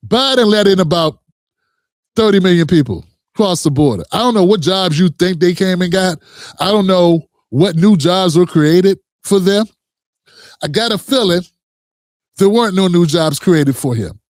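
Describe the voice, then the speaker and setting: A man's voice: high voice, lively intonation, a little slow, long pauses, dry recording, clean studio sound. A man hosting a reaction commentary, talking in a heated, emphatic way with frequent profanity and direct address to viewers. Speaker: commentary host.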